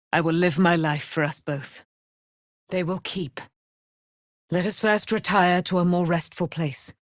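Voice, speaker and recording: A woman's voice: high voice, dry recording, clean studio sound. An actress voicing a proud, vengeful immortal warrior woman in a fantasy game, with grand, archaic, declamatory delivery. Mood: solemn, brooding. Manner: declamatory, archaic, grand, speaks of herself in third person. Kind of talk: dramatic fantasy game acting.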